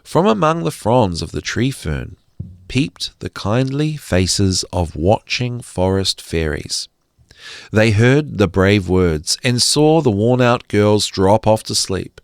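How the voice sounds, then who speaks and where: Male fairy-tale narrator, lowish voice, dry close sound, expressive and deliberate. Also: gravelly, dry recording. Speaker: storyteller.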